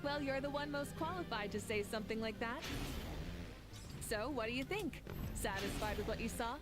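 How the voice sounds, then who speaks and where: A confident, kind female leader speaks with easy assurance, voiced by a woman. Her voice sits slightly high. Game music plays underneath. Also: moderate pace, natural voice. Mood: assured. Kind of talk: game cutscene voice acting.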